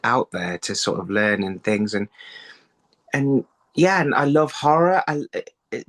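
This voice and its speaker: A man in his thirties giving conversational interview answers over a video call, haltingly, thinking aloud and repeating words. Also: mid-range voice, big pitch swings, fairly bright tone. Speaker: writer being interviewed. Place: indoor room, video call. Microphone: phone on a video call.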